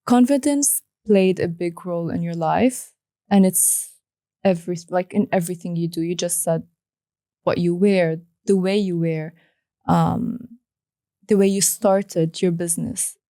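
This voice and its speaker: Young female interviewer, low mellow voice, dry recording, thoughtful questions. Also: a little slow, no echo. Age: young adult. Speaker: interview host. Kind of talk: casual interview conversation.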